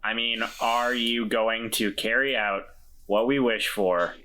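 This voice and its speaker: A man's voice: mid-range voice, fairly even intonation, slow, bright, forceful tone, poor audio. A man playing a tabletop role-playing game in character, earnest and a bit hesitant, with wry asides. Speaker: player.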